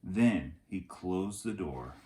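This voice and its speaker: A man's voice: low voice, animated, slow pace, mellow. A man narrating a storybook for children in the manner of a teacher reading aloud.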